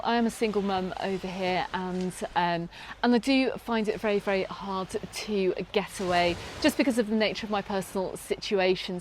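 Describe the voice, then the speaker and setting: British woman, travel vlog host, upbeat and chatty, ums and restarts, mid pitch, outdoors with faint ambience. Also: a little hiss or hum. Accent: British English. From Britain. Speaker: travel vlogger. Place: outdoors on a sunny beach, in a lifeguard tower.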